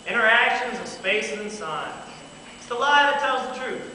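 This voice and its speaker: A man's voice: very high voice, animated, normal speed, bright, forceful tone, heavily acted. A man acting an eager, effusive newspaper photographer in an amateur stage play. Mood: enthusiastic, admiring. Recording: echoey hall from far off, faint noise.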